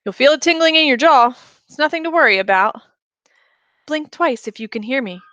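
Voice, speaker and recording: A woman's voice: high-pitched, expressive, fairly quick, fairly bright tone, no echo, lo-fi sound, pitched above the performer's own voice. A woman playing a detached, businesslike surgeon in a story, talking in a clipped, matter-of-fact way. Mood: brisk, routine.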